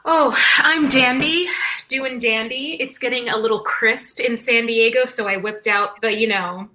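A woman's voice: fairly high pitch, a little slow, pushed and bright. A woman joining a podcast as a guest in casual chat, cheerful and chatty.

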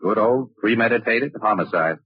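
An actor playing a wisecracking, insistent amateur sleuth in an old radio detective drama. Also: male voice, on the low side, expressive, moderate pace, slightly rough, no room sound. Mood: insistent, sardonic. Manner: sardonic, insistent. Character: wisecracking, cocky male crime photographer sleuth. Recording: muffled lo-fi vintage radio broadcast.

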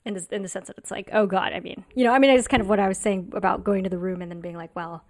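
Woman comedian, casual panel chat, quick and musing with lots of fillers. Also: mid-range voice, fairly even intonation, a little mellow.

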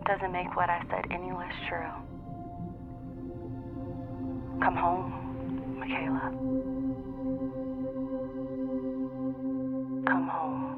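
Radio-filtered loving wife: creaky, breathy, intimate, thin band-limited sound, audio drama. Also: female voice, lowish voice, measured pace, narrow, tinny audio. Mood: tender. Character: tender, devoted wife calling her partner home. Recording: band-limited radio link, music behind.

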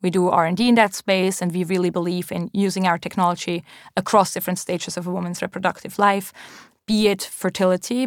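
A young woman in her twenties speaks with a German accent, in a slightly low voice. She answers interview questions earnestly and thoughtfully, pausing on ums and restarting her sentences. The sound is dry and close. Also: dry recording. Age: 20s. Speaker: company founder.

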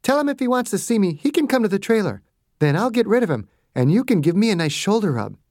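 A narrator voicing a brisk woman doctor. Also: medium pitch, big pitch swings, a little mellow, dry recording, clean studio sound, voice pushed up, natural voice. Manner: brisk, firm. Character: woman doctor, confident and no-nonsense.

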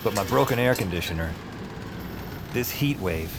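An actor playing a sleepless, lonely night worker, narrating an audio drama in a wry, self-deprecating, conversational way. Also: male voice, on the low side, animated, normal speed, noisy background. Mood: wry weariness. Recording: music behind voice.

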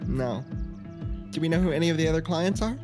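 A man's voice: a little high, fairly quick, lightly acted. An actor playing a casual, slightly unsure teenage boy in conversational audio fiction, with music behind the voice. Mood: casual, curious. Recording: music under the voice.

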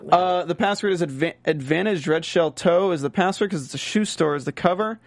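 A man's voice: high-pitched, a little fast, no echo. A man from North Carolina co-hosting a casual TV recap, chatty and jokey, with frequent restarts. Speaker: podcast co-host.

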